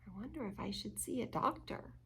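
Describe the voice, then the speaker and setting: A woman's voice: mid-range voice, expressive, a little fast, a bit bright, husky. A woman reading a children's picture book aloud, expressively, with chatty asides and questions to her listeners. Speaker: storytime reader at a church.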